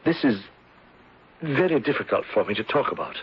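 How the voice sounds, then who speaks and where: Husky, earnest, troubled gentleman. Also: medium pitch, sing-song. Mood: anguished. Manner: halting, earnest, melodramatic. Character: anguished, guilt-ridden male former insurance agent. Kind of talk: 1970s radio drama acting. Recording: dull lo-fi old radio broadcast.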